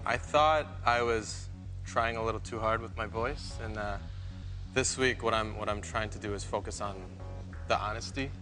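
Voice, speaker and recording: A man's voice: fairly low pitch, fairly even intonation, normal speed, bright, forceful tone, soft background music. A young man, a native Korean speaker, answering hesitantly and repeating words as a singing contestant in a live TV interview. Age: young adult.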